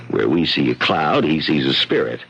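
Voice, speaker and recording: A man acting in a radio drama as a folksy, cautionary Western local, with a gravelly voice and plain-spoken, serious delivery. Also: a bass voice, sing-song, unhurried, a bit bright, heavily acted. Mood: earnest. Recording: muffled old radio recording.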